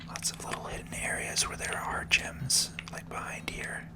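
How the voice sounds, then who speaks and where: A person whispering gentle, unhurried ASMR commentary while playing a video game. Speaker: gaming video host.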